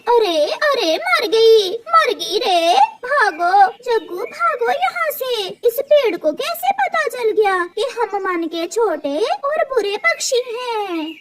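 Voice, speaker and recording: A woman's voice: very high voice, very animated, slow, hardly any pauses, pitched above the performer's own voice, exaggerated voice. A woman voicing a greedy, spiteful female crow in a moral-story cartoon. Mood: panicked.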